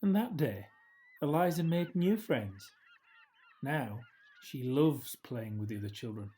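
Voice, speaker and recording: A man narrates a children's picture book at a slow, unhurried pace. His voice is mellow and medium-pitched. He reads gently and expressively, sinking into a hush for dramatic effect. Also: expressive. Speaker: storybook reader. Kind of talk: scripted children's story narration, read aloud.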